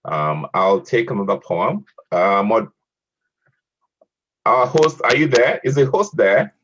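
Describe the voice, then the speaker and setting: A man moderating an online poetry event, reading a poem aloud in a measured way and hesitating with fillers between speakers. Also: on the low side, fairly bright tone, husky. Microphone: online video call audio. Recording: muffled lo-fi call audio.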